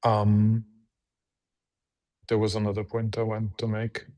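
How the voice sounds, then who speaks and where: Interview guest: man, measured and halting, slow and flat. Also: fairly low pitch. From Hungary. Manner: measured, halting, frequent restarts. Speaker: guest, PR agency head. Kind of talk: conversational interview answers.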